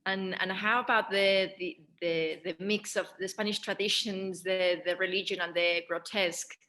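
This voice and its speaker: A woman hosts a panel discussion in a bright, forward voice of medium pitch. She asks her questions off the cuff, with hesitant pauses between phrases. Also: steady tone. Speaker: Q&A moderator.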